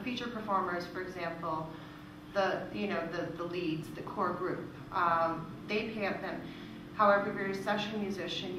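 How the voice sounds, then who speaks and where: A woman giving an informal, explanatory presentation and answering audience questions. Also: fairly low pitch. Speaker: presenter from a music royalties organisation. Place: indoor presentation room with audience. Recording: echoey room, distant mic, noisy.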